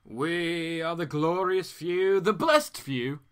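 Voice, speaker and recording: A man voicing a smug female botanist villain from a comic book, speaking in a formal, theatrical, flattering way. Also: on the high side, takes it slowly, smooth. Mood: grandiose.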